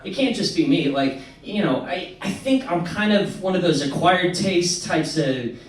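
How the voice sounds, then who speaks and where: A man doing a stand-up comedy set in a halting, self-deprecating way. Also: high voice, lively intonation. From Missouri, United States. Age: 20s. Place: indoor comedy venue. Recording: echoey club set from far off, crowd laughter. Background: audience laughter.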